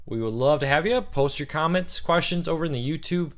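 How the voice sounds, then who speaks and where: A man hosting a casual weekly live-stream Q&A, greeting viewers and answering their questions, his voice a little rough from cheering. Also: fairly high pitch, brisk, a bit bright. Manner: chatty, reads out viewer comments and greets them by name.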